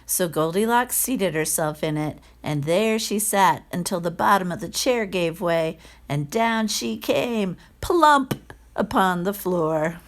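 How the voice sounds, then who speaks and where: A woman reading a children's story aloud in a careful, expressive narrating style. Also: fairly low pitch, slow pace. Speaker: storybook reader.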